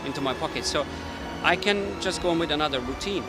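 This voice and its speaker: A man's voice: a little high, fast, loud music behind the voice. A man with a Hungarian accent, a mentalist explaining his card tricks casually to the camera while thinking aloud. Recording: street noise with passing traffic.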